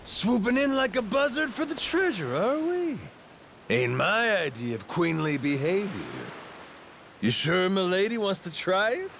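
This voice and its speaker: An actor playing a swaggering, playful pirate captain in a video game, taunting in folksy slang. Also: male voice, high-pitched, big pitch swings, a little slow, creaky, slight background noise, exaggerated voice. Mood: mocking. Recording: loud music behind.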